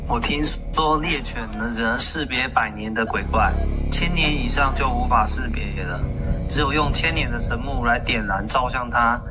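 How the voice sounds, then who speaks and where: An earnest old-tale adviser played by a man explains things in a measured, middle-pitched voice. It is radio drama, with music clearly heard beneath the words. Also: natural voice. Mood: earnest, advising. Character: knowledgeable, earnest man advising or serving a lord. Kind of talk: radio play acting. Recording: music behind the voice.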